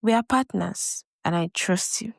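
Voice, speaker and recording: A woman's voice: medium pitch, a little slow, gravelly, no room sound, studio quality. A woman voicing a trusting, stubborn bride in love, speaking earnestly and emphatically.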